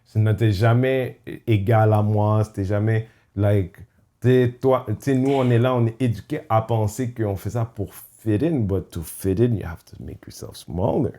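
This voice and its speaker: A man with a low voice and a warm, mellow tone talks in a casual podcast chat. He is reflective and halting, restarts his sentences often, and slips English phrases into what he says. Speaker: podcast host.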